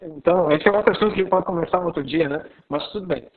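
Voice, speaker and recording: A man from southern Brazil with a Gaúcho accent, talking keenly on a live video call. His voice sits high and sounds husky, and the line is thin and tinny like a phone. He teaches with rising excitement and stresses his points. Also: racing. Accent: Gaúcho Brazilian Portuguese. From Rio Grande do Sul, Brazil. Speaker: pastor.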